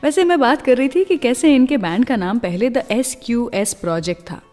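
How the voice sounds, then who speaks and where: A woman's voice: fairly high pitch, darkish tone, big-room sound. An Indian woman presenting a music radio show in a conversational, chatty storytelling way, slipping between Hindi and English phrases.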